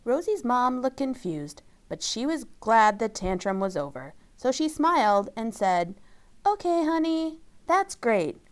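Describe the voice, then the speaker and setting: A woman narrates a children's story aloud. Her voice is smooth and a little high, with animated pitch and an unhurried, read-aloud delivery.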